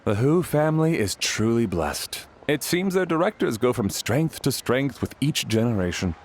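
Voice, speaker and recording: An actor playing a polite, worried household butler in a fantasy video game, speaking in a deferential, formal and hesitant way. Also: male voice, mid-range voice, very animated, moderate pace. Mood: courteous. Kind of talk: scripted video game dialogue.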